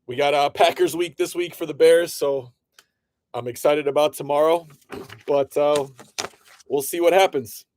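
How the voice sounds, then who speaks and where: A man's voice: a little high, fairly even intonation, a little slow, pauses often, a little mellow. A man from Illinois chatting casually as host of a live sports card break, greeting viewers by name, his voice a little off. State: voice slightly off. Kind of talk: casual livestream chat.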